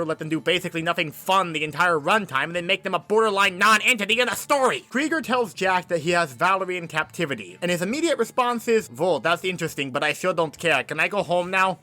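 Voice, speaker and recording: A young man narrating a comedic movie review in a sarcastic, mocking tone with quick asides. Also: sits high, bright, forceful tone.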